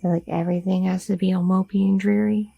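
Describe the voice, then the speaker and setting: A woman's voice: mid-range voice, little pitch movement, fast talker, gravelly, husky, no echo, muffled recording, faint music behind. A woman voicing a curious, chatty young girlfriend in an ASMR roleplay, rambling with many fillers and nervous questions. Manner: rambling, inquisitive, filler-heavy talk.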